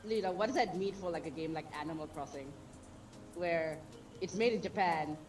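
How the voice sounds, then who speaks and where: A woman's voice: lowish voice, normal speed, faint music behind. A woman, hosting a casual livestream chat and laughing often. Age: 30s. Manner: chatty, lively, laughs often. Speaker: stream host.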